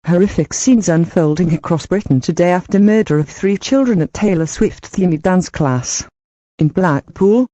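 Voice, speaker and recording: A woman acting as a newsreader in a fictional emergency broadcast, delivering bulletins in a flat, read-aloud way. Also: fairly low pitch, no room sound, muffled recording. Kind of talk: scripted amateur audio drama.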